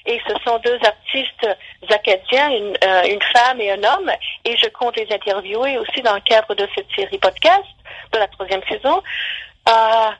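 A woman's voice: on the high side, moderate pace, breathy edge, rough recording. A woman professor explaining her views as a radio guest, hesitant, with many restarts and fillers.

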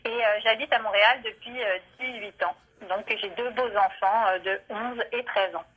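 A woman in her forties from France, a guest on a phone interview, pausing often with fillers. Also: medium pitch, fairly even intonation, husky. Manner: hesitant, many fillers. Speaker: guest, cookbook author. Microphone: telephone. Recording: dull phone line.

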